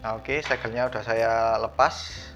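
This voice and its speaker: A man's voice: mid-range voice, fairly even intonation, measured pace, a bit bright. Man, lo-fi casual unboxing narration. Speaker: unboxing vlogger. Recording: dull lo-fi sound, music behind. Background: background music.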